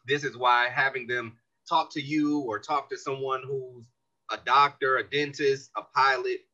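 Husky, bright-voiced man on a dull line. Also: mid-range voice, unhurried. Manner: animated, explanatory. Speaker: guest, community organization leader. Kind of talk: podcast interview.

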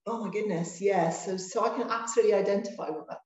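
A woman from Ireland, a health service director talking as a guest in an interview, measured and often restarting her phrases. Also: medium pitch, roomy sound, muffled recording. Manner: measured, with frequent restarts and fillers. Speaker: NHS trust managing director, guest. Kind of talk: interview conversation.

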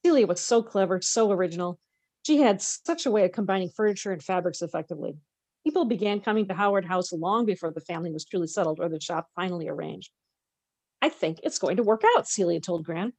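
A woman's voice: on the low side, quick pace, a little mellow, no room sound. A woman co-hosting a podcast, expressively reading a novel aloud with casual, conversational asides.

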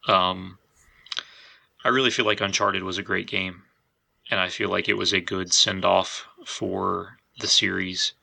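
Deep, bright-voiced man with creaky edge. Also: little pitch movement, measured pace. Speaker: podcast host. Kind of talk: casual podcast discussion.

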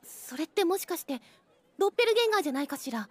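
A woman's voice: very high voice, sing-song, very fast. A woman playing a mysterious, frail schoolgirl with a delicate voice in an audio drama. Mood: calm, enigmatic. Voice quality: delicate, fragile voice. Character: mysterious frail pale schoolgirl, calmly reassuring.

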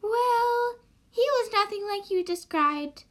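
A woman's voice: very high-pitched, lively intonation, slow, a bit bright, voice pushed up, heavily acted. A woman playing an anxious, earnest townsperson in an amateur radio drama, speaking insistently.

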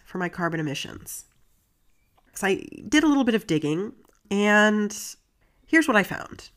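A woman's voice: mid-range voice, fairly bright tone. A woman with a stuffy, congested voice from a cold, hosting a solo podcast in a chatty, earnest way, with asides between facts. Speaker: podcast host.

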